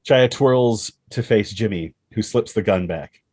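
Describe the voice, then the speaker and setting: Low, husky man narrating a screenplay. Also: a little slow, a little mellow. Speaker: stage-direction narrator.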